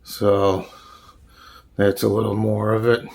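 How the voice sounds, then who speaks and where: A man giving a casual, unscripted show-and-tell as a hobbyist, halting often and searching for names as he goes. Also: lowish voice, completely flat delivery, gravelly, a little mellow. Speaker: hobbyist showing a radio collection.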